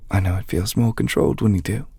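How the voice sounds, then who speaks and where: A man playing a gentle, patient magic teacher in an ASMR audio roleplay, speaking softly and formally, close to the microphone, as he reassures an apprentice. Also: fairly low pitch, fairly even intonation, fast, no room sound, full character voice. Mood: gentle guidance. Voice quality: soft, breathy, half-whispered. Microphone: close mic, dry.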